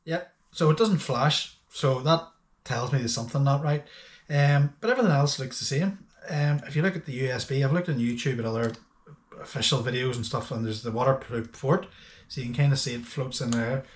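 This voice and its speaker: A man's voice: medium pitch, brisk, a little hiss or hum. A man talking casually and a little hesitantly through a hands-on product review, thinking aloud as he goes. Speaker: host of a boating gadget channel.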